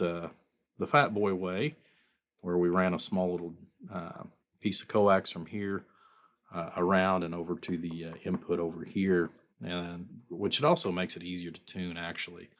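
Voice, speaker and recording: A man's voice: low voice, steady tone, unhurried, fairly bright tone. A man giving a casual, rambling workbench demonstration in a folksy, informal way, like a builder showing his work. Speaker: custom amplifier builder presenting his work.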